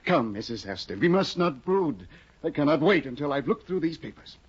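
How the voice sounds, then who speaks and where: A fussy, flustered scholar. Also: male voice, a little high, very animated, moderate pace, husky. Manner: flustered, hesitant, with many uh's. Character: fussy, earnest scholarly husband. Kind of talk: 1950s radio drama acting. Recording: dull lo-fi 1950 radio broadcast.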